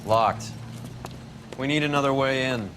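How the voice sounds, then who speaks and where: An actor playing a brash, cynical police detective partner in a video game. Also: male voice, mid-range voice, measured pace, noisy background, natural voice. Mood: gruffly casual.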